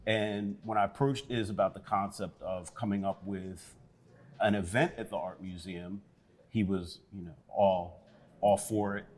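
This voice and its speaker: A man speaking as an interview guest, telling how the event began in a relaxed storytelling way. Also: deep voice, unhurried. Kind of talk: conversational interview.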